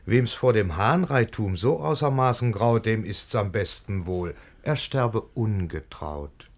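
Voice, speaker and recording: An actor playing a calm, wry middle-aged gentleman, speaking courteous, measured rhymed verse in a radio play. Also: lowish voice, slight background noise.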